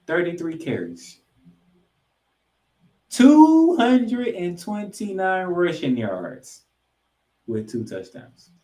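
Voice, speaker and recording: A man's voice: high-pitched, huge pitch swings, very slow, long pauses, mellow. Man recapping football in an echoey room. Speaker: sports podcast co-host. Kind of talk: football recap commentary with stat read-outs. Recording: echoey, roomy sound.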